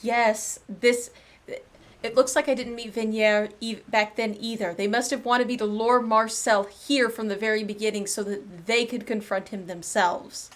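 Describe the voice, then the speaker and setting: A woman's voice: fairly high pitch, fairly even intonation, normal speed, fairly bright tone. A woman streaming a game, giving casual commentary as she plays through the story. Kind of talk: casual playthrough commentary and reading along.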